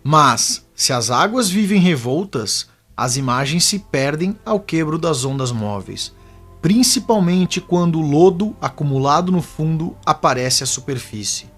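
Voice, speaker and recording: A man's voice: on the high side, animated, unhurried, a bit bright. A Brazilian man playing a wise, solemn elder spirit mentor in an audio novel, speaking in a formal, teaching way. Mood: solemn, instructive. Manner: formal, didactic. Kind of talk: scripted audio novel acting. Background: music.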